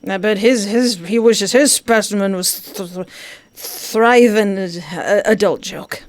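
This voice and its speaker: Playful woman let's player, mid-pitched. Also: takes it slowly. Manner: playful, jokey asides. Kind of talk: reading game narration aloud with casual commentary. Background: game music.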